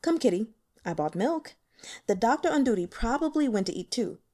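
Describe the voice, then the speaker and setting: Gentle scholarly man, played by a female reader, courteous and considerate, lively melodic phrasing, storybook dialogue. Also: fairly high pitch, normal speed. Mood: friendly, coaxing. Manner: courteous, softly apologetic small talk. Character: polite, gentle male university teacher, considerate and scholarly.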